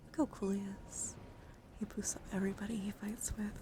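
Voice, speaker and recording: A woman hosting an ASMR livestream, slipping between whispering and soft speech in a gentle, chatty, encouraging way as she shows things to viewers. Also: mid-range voice, little pitch movement, brisk. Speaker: livestream host. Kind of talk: whispered ASMR, showing and reading out trading cards. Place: indoor livestream, hands-on camera.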